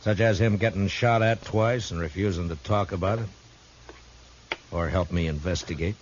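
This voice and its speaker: An actor playing a steely, unflappable marshal in a 1950s American Western radio drama, terse, dry and deadpan. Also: male voice, low-pitched, normal speed, close to an everyday voice. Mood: stern, probing. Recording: muffled, hissy 1950s radio recording.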